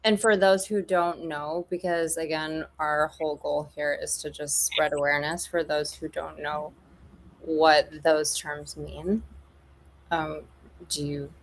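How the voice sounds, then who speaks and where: Low-voiced chatty woman podcast host. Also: level tone, takes it slowly, poor audio. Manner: chatty, filler-heavy, jokey. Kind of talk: casual podcast chat.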